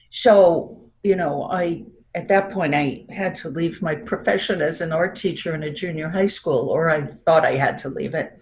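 Unhurried older woman, New York accent. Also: on the low side, darkish tone, a little hiss or hum. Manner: unhurried, reflective, laughs between thoughts. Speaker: marriage and family therapist guest. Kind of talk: reflective interview talk.

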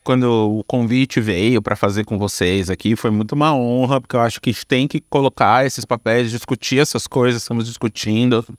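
A man's voice: medium pitch, steady tone, fairly quick, few pauses, dry recording, clean studio sound. A man with a Brazilian accent talking casually and reflectively in an interview, slangy and informal, thinking aloud. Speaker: podcast guest, content creator and director.

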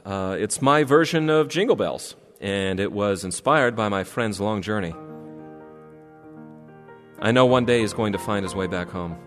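A man's voice: lowish voice, a little slow, over music, close to an everyday voice. An actor playing a musician who introduces his last song for a friend. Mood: heartfelt, hopeful.

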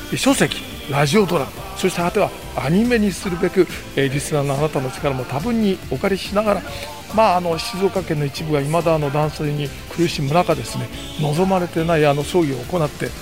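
An older man hosting a podcast on location, chatting politely in a lowered voice, first on a street and then inside a gallery. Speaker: podcast host. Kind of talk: casual chat on location. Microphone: handheld mic held out by a staffer.

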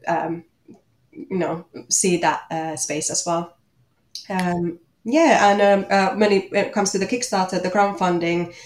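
A woman with a Finnish accent answering interview questions as a guest, speaking in a hesitant, halting way. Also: on the low side. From Finland. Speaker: interview guest, watchmaker and small business owner. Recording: echoey room.

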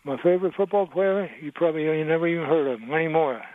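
A man's voice: on the high side, quick pace. A man in his eighties calling in to a radio talk show, reminiscing in a rambling way. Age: 80s. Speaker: caller. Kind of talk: phone-in radio call. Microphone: telephone. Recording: tinny phone line, dry.

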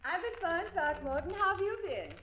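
A woman's voice: very high-pitched, lively intonation, fairly quick. An actress playing a genteel, coy lady in a 1940s radio comedy. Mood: pleasant, coy. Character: genteel, coy single lady getting dressed up. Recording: dull vintage radio recording.

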